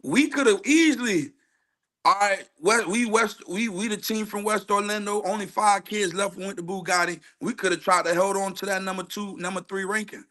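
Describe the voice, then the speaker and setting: A man's voice: high-pitched, moderate pace, pushed and bright. A man speaking as a coach in a casual sports debate, emphatic and slangy, repeating phrases as he makes his case. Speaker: youth football coach, show guest. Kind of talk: casual, argumentative sports chat.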